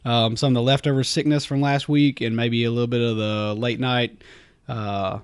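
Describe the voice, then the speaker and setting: A man with a slightly raspy, sandy throat, co-hosting a casual podcast. His pitch sits in the middle range, and he chats in a loose, filler-heavy way. The recording is close and dry with no room sound. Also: level tone, normal speed, no echo. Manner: chatty, casual, frequent ums. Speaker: podcast co-host.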